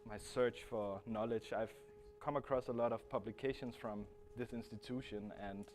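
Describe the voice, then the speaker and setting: A man in his thirties from Denmark speaks with a Danish accent, at an ordinary middle pitch. He presents to a room, stopping and restarting his phrases as he searches for words. A faint hum sits under the recording. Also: fairly even intonation, normal speed, darkish tone. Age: 30s. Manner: hesitant, frequent restarts and fillers. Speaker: guest speaker. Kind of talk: conference presentation.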